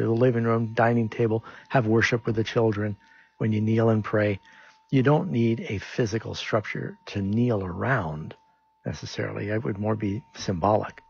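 A man's voice: lowish voice, fairly even intonation, a little fast, creaky, a little mellow, slightly rough, highs cut off. A man who hosts a radio call-in show as a pastor, answering Bible questions in a conversational, explanatory way.

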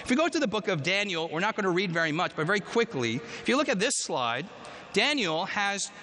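A male preacher with a high, bright, forceful voice delivers an emphatic sermon and throws questions out to the congregation. His words ring out with a long reverb in a church hall, over a faint background noise. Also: sing-song, fairly quick. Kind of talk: sermon with scripture reading.

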